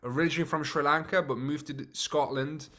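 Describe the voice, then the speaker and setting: A man from Romania, a native Hungarian speaker, hosting a casual interview in a friendly, relaxed way with some hesitations. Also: on the high side, brisk. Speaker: podcast host.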